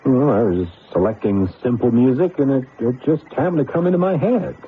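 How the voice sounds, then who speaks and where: A man's voice: mid-range voice, brisk, natural voice. A man playing an anxious computer scientist in an old-time radio drama, hesitant and stammering, often placating. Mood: uneasy. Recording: tinny, noisy old radio broadcast.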